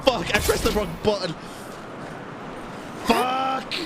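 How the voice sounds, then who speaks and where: A man doing casual live gaming commentary as a streamer, expressive, slangy and sweary. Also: extremely high pitch, takes it slowly, noisy background. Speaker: game streamer. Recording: game music behind the voice.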